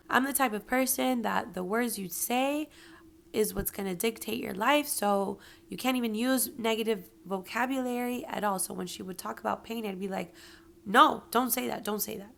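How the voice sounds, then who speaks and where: A woman hosting her own show, talking through grief and pain in a hoarse, raspy voice, earnest and encouraging, sometimes dropping to a whisper for emphasis. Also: mid-range voice, normal speed, fairly bright tone. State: hoarse from yelling. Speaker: podcast host. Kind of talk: personal reflective monologue.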